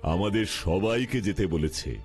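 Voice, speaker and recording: A man's voice: sits low, unhurried. A man voicing a kindly, knowing superhero big brother in a children's cartoon, explaining things patiently over music. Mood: calm, friendly. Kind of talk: Bengali children's cartoon dubbing. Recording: music behind the voice.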